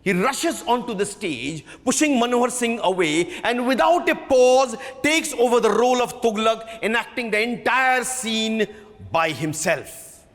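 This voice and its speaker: A man with an Indian accent gives a dramatic literary reading, theatrical and emphatic, with dramatic pauses. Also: very high-pitched, lively intonation, fairly bright tone. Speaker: stage actor giving a dramatic book reading. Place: large auditorium at a live reading. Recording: echoey hall with long reverb.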